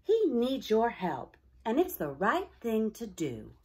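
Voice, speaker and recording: A woman's voice: on the high side, huge pitch swings, slow, dark tone, slightly rough, heavily acted. A woman voicing a kindly, encouraging female streetcar in a children's storybook, caring and reassuring.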